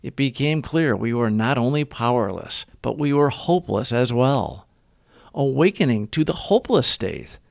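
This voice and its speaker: A man reading scripted daily recovery reflections as a host, with measured, deliberate phrasing. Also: medium pitch, very animated, gravelly, no room sound, studio quality.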